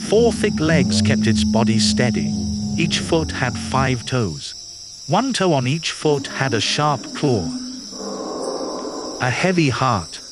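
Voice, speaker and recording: A man reading a children's nonfiction picture book aloud in a clear, patient, teacherly way. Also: mid-range voice, background noise. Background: background music.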